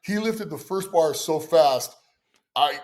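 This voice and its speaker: A middle-aged man hosting a podcast, telling stories excitably with stammering restarts. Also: on the high side, slow. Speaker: podcast host. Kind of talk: casual podcast chat.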